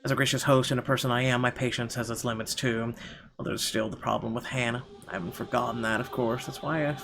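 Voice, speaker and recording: A man reading horror visual novel narration aloud as a let's player, in an expressive, dramatic storytelling style. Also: mid-range voice, fast, gravelly, slight background noise.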